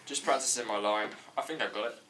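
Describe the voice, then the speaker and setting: A man acts out a hapless, naive young man who is quietly smug and earnest. He speaks hesitantly at a middling pitch, in a comedy table read with faint noise behind him. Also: fast, close to an everyday voice. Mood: naive, puzzled. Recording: faint background noise, slightly distant room mic.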